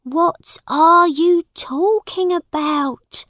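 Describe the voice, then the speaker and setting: A woman's voice: very high-pitched, drawn-out words, fairly bright tone, dry recording, studio quality, pitched above the performer's own voice, heavily acted. A woman voicing an anxious, loving mother with a pleading, concerned manner in a storybook reading. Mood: worried.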